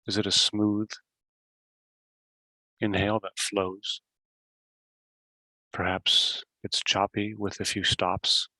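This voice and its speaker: A man leading a guided meditation as host, giving simple instructions in short phrases with long pauses. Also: fairly low pitch, pushed and bright, dry recording, poor audio.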